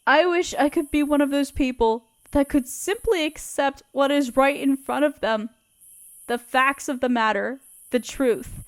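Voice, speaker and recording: A grieving young woman pours her heart into a letter to a lost love, as a woman acts her out, her voice high and sniffling with tears. She speaks slowly and softly, lingering over each tender phrase. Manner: soft, hushed and tender. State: sniffling, tearful. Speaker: audio roleplay performer. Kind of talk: intimate audio roleplay reading a letter.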